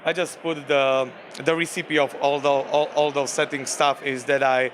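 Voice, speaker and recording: A man from Slovakia with a Slovak accent, a game designer speaking in a convention interview, halting with many fillers and restarts. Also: medium pitch, level tone, a bit bright, slight background noise.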